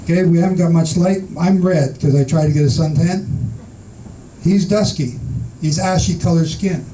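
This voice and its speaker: A man's voice: fairly high pitch, moderate pace, warm tone, distant mic, slight background noise, poor audio. A man teaching an informal Bible study to a small group, reading scripture aloud and asking his listeners questions. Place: room with a small group in the Philippines.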